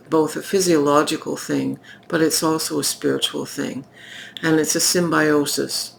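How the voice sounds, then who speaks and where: A woman giving a tarot reading in an unhurried, reflective way, her voice croaky from recent illness. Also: sits low, a little hiss or hum, poor audio. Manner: slow, reflective, pausing, with fillers. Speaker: tarot reader. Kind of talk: unscripted spoken tarot reading.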